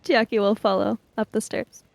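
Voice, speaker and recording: A woman's voice: mid-range voice, little pitch movement, moderate pace, creaky, no echo. A woman playing a tabletop roleplaying game, casually telling what her character does and thinks.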